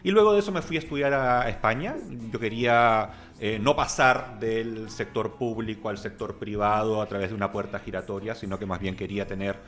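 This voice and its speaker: A man in his forties from Peru speaking as an interview guest, giving a measured, articulate, conversational account. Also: lowish voice, fairly bright tone. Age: 40s. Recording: faint music in the background.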